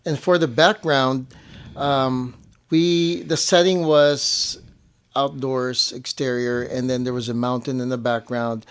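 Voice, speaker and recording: A man's voice: mid-range voice, steady tone, takes it slowly, smooth. Man, mid-range voice, informal co-host talking through details conversationally, restating phrases to clarify. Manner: informal, repeats and rephrases to clarify. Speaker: show co-host. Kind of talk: casual conversational explanation.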